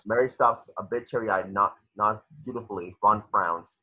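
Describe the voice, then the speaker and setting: Husky, forceful low-voiced male narrator. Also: moderate pace. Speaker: narrator reading stage directions. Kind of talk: screenplay narration at a table read. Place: home, video call. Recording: dull, lo-fi video call.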